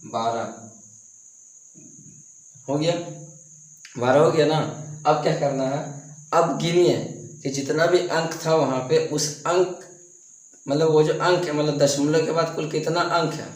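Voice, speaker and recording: A young man teaching math on a board, speaking softly with a sore throat, coughing and restarting his sentences. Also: a little high. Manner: repeats and restarts himself, coughs now and then. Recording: echoey room.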